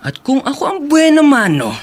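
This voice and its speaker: A man's voice: extremely high pitch, huge pitch swings, normal speed, exaggerated voice. An actor playing an arrogant, predatory young man in a radio drama, with a taunting, insinuating manner. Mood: mocking. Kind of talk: Tagalog radio drama acting.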